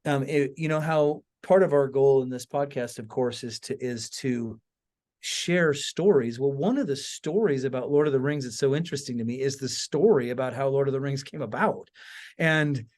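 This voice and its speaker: A man with a medium-pitched voice hosts a podcast discussion. He speaks informally and with engagement, as in a relaxed chat between colleagues, often checking that his listener follows. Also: moderate pace. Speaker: podcast host. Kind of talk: casual conversational discussion.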